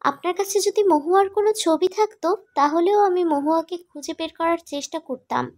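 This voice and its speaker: A woman playing a concerned, questioning young friend in an animated romantic drama. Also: very high voice, a little fast, no echo, studio quality. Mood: curious, concerned.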